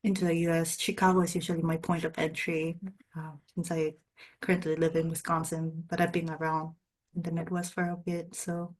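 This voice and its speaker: A woman poet, a native speaker of Spanish and Korean, reading poems in measured, deliberate short phrases with brief spoken introductions. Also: deep voice, completely flat delivery, poor audio.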